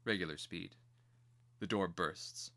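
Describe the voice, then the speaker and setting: A man narrating a screenplay as scripted drama, in a grave, deliberate and theatrical manner. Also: sits low, gravelly, pushed and bright.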